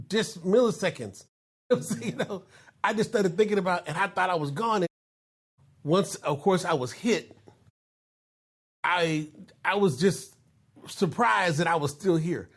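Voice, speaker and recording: A man's voice: high voice, a little slow, long pauses. A man in his fifties hosting a casual podcast, telling stories with frequent laughs and lots of "you know". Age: 50s. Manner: storytelling, laughs often, says "you know" a lot. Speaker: podcast host.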